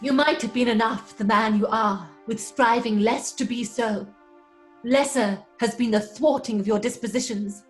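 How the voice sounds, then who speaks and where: A woman's voice: on the high side, normal speed, soft background music, natural voice. A woman playing a stage actress in an audio drama, theatrical in her delivery. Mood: declaiming. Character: theatre actress declaiming classical lines, cheeky between scenes. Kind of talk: dramatic audio fiction acting.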